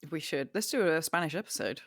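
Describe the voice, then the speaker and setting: A woman co-hosting a podcast in casual chat, talking into a microphone, animated and self-mocking, swearing casually. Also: low voice, fast, no room sound, clean studio sound. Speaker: podcast co-host.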